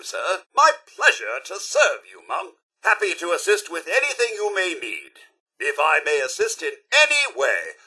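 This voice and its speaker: An actor playing a prim, fussy robot butler in a video game, with a British accent, a raspy metallic edge and formal, theatrical politeness. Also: male voice, fairly high pitch, pushed and bright, heavily acted. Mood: courteous, eager. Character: prim, fussy male robot butler, devoted yet snippy.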